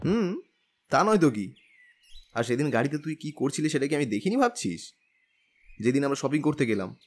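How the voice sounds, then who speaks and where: A man's voice: mid-range voice, normal speed. A man playing a smug young man in an audio story, teasing his family with a cocky manner. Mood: mocking. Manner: teasing, lively. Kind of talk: Bengali audio story acting.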